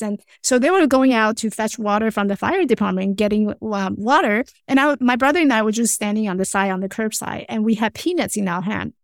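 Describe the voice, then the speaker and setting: A woman's voice: medium pitch, dry recording, clean studio sound. A woman from Taiwan, a podcast guest telling her life story earnestly, restarting phrases as she goes. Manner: earnest, halting, with frequent restarts and small grammar slips. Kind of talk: personal storytelling in conversation.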